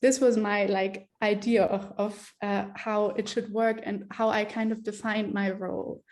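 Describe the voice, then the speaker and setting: A woman's voice: medium pitch, level tone, a little slow, a little mellow. A woman filmmaker who was born in Germany and grew up in Ethiopia, speaking reflectively in an online panel over a video call.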